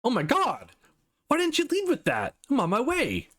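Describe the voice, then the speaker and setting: A man's voice: extremely high pitch, huge pitch swings, moderate pace, fairly bright tone, voice pushed up, full character voice. A man voicing an anxious, guarded young woman in a visual novel, emotive and easily exasperated. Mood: shocked, urgent.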